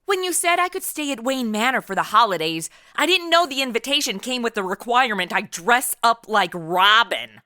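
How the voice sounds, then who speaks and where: A woman's voice: fairly high pitch, sing-song, normal speed, few pauses, bright, forceful tone, dry recording, clean studio sound. An actress playing a sarcastic modern young woman and reluctant sidekick, snarky and blunt, in a comedy radio play.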